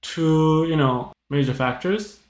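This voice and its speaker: A man from Japan, hosting a casual chat podcast in English and speaking haltingly, with many fillers. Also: fairly high pitch. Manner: halting, leans heavily on "you know". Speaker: podcast host. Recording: roomy echo.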